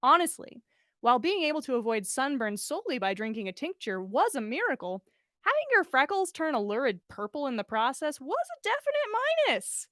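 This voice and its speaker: Female storyteller for a read-aloud podcast: higher voice, expressive narration, close dry sound. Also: a little fast, dry recording. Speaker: podcast host reading listener fan fiction.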